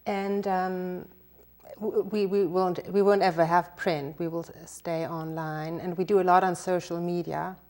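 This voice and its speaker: Lady, talks soft and kinda hesitant, low-ish voice. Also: little pitch movement, a little slow. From Germany and Denmark. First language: German. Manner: soft-spoken, hesitant with fillers and restarts. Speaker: guest, newspaper publisher. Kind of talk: conversational interview answers.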